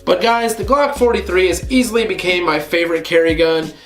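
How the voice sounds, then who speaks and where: A man hosting a casual gun review vlog, slightly hoarse from a cold, talking to viewers in a relaxed conversational way. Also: high-pitched, a little fast, echoey room, faint music behind. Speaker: gun channel host.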